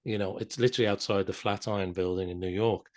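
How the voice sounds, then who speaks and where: A man with a fairly low voice hosts a podcast interview. He talks conversationally and builds up to his questions in a discursive way. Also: steady tone, fast, darkish tone.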